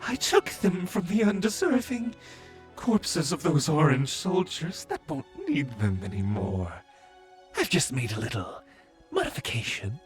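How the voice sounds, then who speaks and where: A man playing a mad, zealous villain, a servant of a moon goddess, preaching in a grandiose, drawn-out way in a fantasy audio roleplay. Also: a little high, huge pitch swings, faint music behind. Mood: mocking calm.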